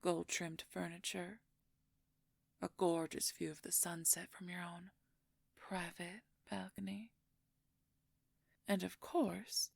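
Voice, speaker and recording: Woman acting a husky, whispery, possessive werewolf schemer. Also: fairly low pitch, dry recording, exaggerated voice. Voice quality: husky, breathy, creaky near-whisper. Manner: silky, condescending, slow and deliberate. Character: smug, possessive werewolf schemer, conquering royal betrothed. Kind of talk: audio roleplay acting, addressing the listener.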